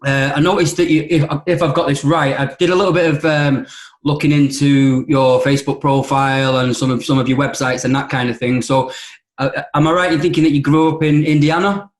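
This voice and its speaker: A man's voice: a little high, fairly even intonation, a little fast, reverberant. A man hosting a podcast, politely asking questions with frequent ums and false starts. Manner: hesitant, lots of ums and restarts, polite. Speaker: podcast host. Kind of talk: conversational interview.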